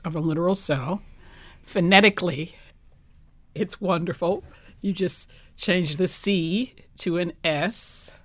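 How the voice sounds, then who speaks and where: Female interview guest, playwright type: lowish voice, emphatic, measured phrasing, close dry sound. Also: dry recording. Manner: emphatic, deliberate, articulate. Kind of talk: reflective panel interview.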